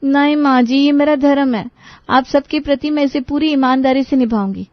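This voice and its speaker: Woman playing young daughter-in-law, eager, earnest, polite, high voice, dry muffled storybook recording. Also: moderate pace, no room sound, close to an everyday voice. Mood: earnest, devoted. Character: eager, dutiful young daughter-in-law, proud of odd cooking.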